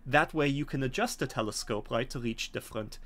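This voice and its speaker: A middle-aged man with a medium-pitched voice narrates a tabletop game as game master. He explains in a halting way, pausing often, and is recorded over a video call at home. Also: fairly bright tone. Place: home room, indoors.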